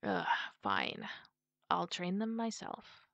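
Girl doing a stern wolf woman drill officer in a fantasy audio roleplay, sharp voice, no room echo. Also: fairly low pitch, big pitch swings, slow, creaky, full character voice. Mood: exasperated. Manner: tough, bossy, blunt. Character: stern part-wolf female army knight officer. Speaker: training officer. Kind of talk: scripted roleplay audio drama.

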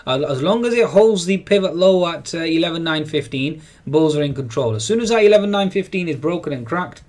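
A man's voice: on the high side, lively intonation, a little fast, a little mellow. A man giving daily market commentary over trading charts, hesitant with many fillers and frequent repeats. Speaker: market analyst. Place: voice-over on screen recording.

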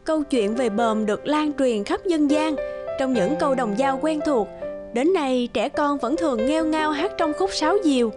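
A woman narrates a folktale from a script, reciting verse in a high voice. Music is clearly present behind her. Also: moderate pace.

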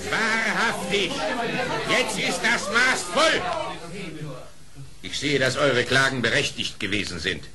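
A man's voice: fairly high pitch, slow, pauses often, a loud crowd. An actor playing a stern, indignant animal king in a children's radio play, declaiming regally. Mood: indignant, commanding.

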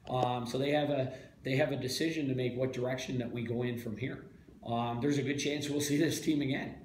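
A middle-aged man, a head coach, giving a halting postgame interview full of restarts and fillers. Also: medium pitch, fairly even intonation, a little mellow. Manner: halting, many repeated words and fillers. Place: small press room, indoors. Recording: echoey room, faint background hiss.

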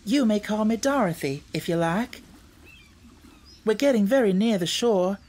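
A woman's voice: mid-range voice, lively intonation, unhurried, a little mellow, voice pushed up. A woman voicing an earnest, outspoken young country girl in a storybook, speaking emphatically. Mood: earnest, friendly. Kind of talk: storybook character voice.

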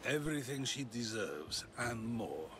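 An actor voicing a grave, middle-aged philosopher in a video game, solemn and deliberate. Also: male voice, medium pitch, very animated, background noise. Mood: solemn, resolved. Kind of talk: dramatic video game acting.

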